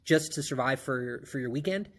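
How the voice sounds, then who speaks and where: A man's voice: mid-range voice, moderate pace, fairly bright tone. A man in his thirties hosting a casual podcast, easygoing and chatty, laughing easily and swearing casually. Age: 30s. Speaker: podcast host.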